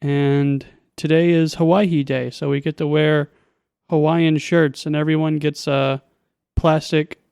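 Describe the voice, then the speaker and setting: A man's voice: on the high side, level tone, measured pace, creaky, a little mellow, smooth, dry recording, studio quality. A man chatting casually as a podcast co-host, with a wry, informal and lightly sarcastic way of talking. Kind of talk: casual chat.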